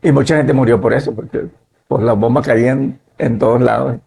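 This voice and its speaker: A man in his fifties from Nicaragua, a pastor and missionary, telling his life story in a measured way and often adding "¿verdad?". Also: mid-range voice, fairly even intonation, gravelly, mellow, a little hiss or hum. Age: 50s. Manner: measured, adds "¿verdad?" tags. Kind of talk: relaxed testimony in conversation.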